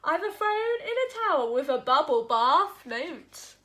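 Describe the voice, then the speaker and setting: Woman voicing a high-pitched boastful storybook goat. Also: sing-song, a little slow, fairly bright tone, full character voice. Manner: bragging, showy, exclaiming. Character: lonely, boastful male goat in a tower.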